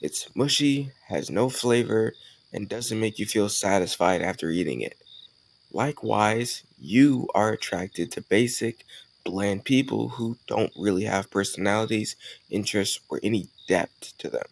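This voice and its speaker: Male actor as cocky college charmer, young, low creaky voice, casual teasing delivery, romantic audio roleplay. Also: a little slow, no echo. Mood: playful, persuasive. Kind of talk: scripted romantic audio roleplay addressed to the listener.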